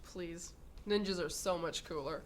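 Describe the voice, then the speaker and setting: A woman's voice: lowish voice, measured pace, close to an everyday voice. A woman playing a skeptical, scornful police lieutenant in a radio drama. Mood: exasperated. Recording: clear background noise and ambience.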